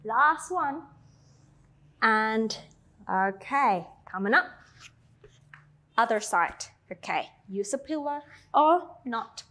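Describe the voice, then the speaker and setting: A woman in her thirties with an Australian-tinged accent, guiding a Pilates class with slow, soothing cues and chatty asides. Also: fairly high pitch, animated, pushed and bright. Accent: Australian-tinged English. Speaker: Pilates instructor. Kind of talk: guided exercise instruction.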